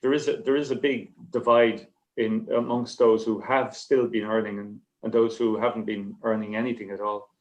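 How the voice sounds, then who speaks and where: A man, a writer on a panel, talking casually and reflectively in a halting, rambling, understated way. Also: fairly low pitch, flat delivery. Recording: slightly dull, lo-fi connection.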